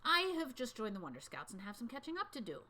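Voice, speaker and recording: A woman's voice: a little high, wildly swooping pitch, fast, bright, forceful tone, pitched above the performer's own voice, heavily acted. A woman voicing a precocious, self-important fourth grade girl detective in a storybook, earnest, wordy and opinionated. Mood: matter-of-fact.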